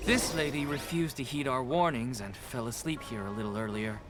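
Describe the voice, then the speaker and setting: A man's voice: mid-range voice, fairly even intonation, moderate pace, music in the background, natural voice. A man voicing a harried, overworked official in a game, sounding worried. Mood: helpful. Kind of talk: game voice acting.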